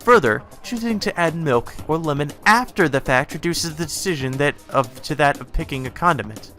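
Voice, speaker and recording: A man acts out a spoiled, haughty young lady from a visual novel. Her diction is prim and formal with a volatile temper underneath, and the voice is bright and forceful over faint music. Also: fairly high pitch, expressive, moderate pace. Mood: haughty, lecturing. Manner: prim formal diction that snaps into foul-mouthed commands.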